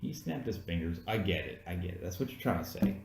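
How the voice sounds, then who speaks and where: A man's voice: low voice, sing-song, quick pace, gravelly, reverberant, a little hiss or hum. A man co-hosting a casual movie review, explaining his points insistently in loose, sweary conversation. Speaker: co-host of a movie review podcast.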